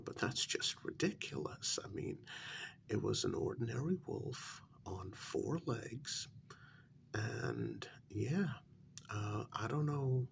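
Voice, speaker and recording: A man playing a polite, hesitant working man placating his boss in a soft, partly whispered ASMR role-play, halting and deferential. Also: on the low side, creaky, heavily acted. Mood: flustered denial. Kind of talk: soft-spoken ASMR role-play, one side of a conversation.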